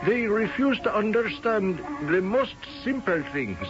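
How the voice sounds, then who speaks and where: A very high-voiced Indian houseboy in a radio drama, speaking slowly with an Indian accent. He is solemn, deferential and quietly scornful, with ornate phrasing, on a dull old radio recording with music behind. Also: sing-song. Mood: wry, storytelling. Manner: ornate, formal, portentous phrasing. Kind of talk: radio drama acting and narrating in character.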